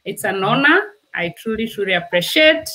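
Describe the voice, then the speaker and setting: A woman's voice: on the high side, lively intonation, normal speed, pushed and bright, low-quality recording. A woman from Kenya, a nurse answering interview questions earnestly. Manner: earnest, talks with vivid everyday comparisons. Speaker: nurse and guest.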